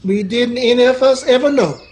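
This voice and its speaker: A man's voice: extremely high pitch, a little fast, darkish tone, a little hiss or hum. A man playing a devout, sorrowful cowhand in a radio western, speaking in a humble, folksy, deferential way. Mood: solemn, sorrowful. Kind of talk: old-time radio western acting.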